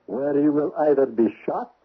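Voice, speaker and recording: High, husky menacing spymaster. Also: male voice, expressive, moderate pace. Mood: stern, accusing. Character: menacing, accusing Soviet spymaster, a stern male superior. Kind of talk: 1970s radio drama acting. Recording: tinny phone-like old radio recording.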